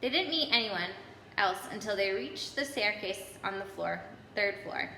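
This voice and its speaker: A woman reading a children's storybook aloud in an expressive narrating style. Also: mid-range voice, moderate pace, gravelly. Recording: echoey hall, background noise.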